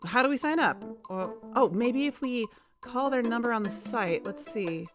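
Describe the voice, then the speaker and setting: A woman's voice: fairly high pitch, lively intonation, moderate pace, over music, lightly acted. A woman playing an earnest amateur investigator in a scripted podcast drama, speaking conversationally.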